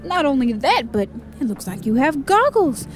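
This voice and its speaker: A woman's voice: sits high, big pitch swings, moderate pace, over music. A woman playing a sly, money-grubbing male swindler in a western audio drama, with a slick, smarmy manner.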